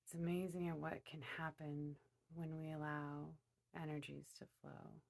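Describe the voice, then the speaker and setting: A woman leading a guided meditation, speaking to her listeners in a deliberate way with pauses in mid-phrase. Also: deep voice, little pitch movement, gravelly, darkish tone.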